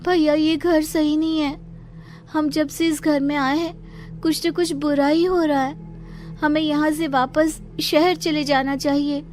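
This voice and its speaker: A woman's voice: high-pitched, level tone, unhurried, darkish tone. A woman playing a worried young sister in a cartoon family drama, with an emotional delivery. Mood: sad, worried. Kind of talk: dramatic cartoon story acting. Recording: music behind the voice.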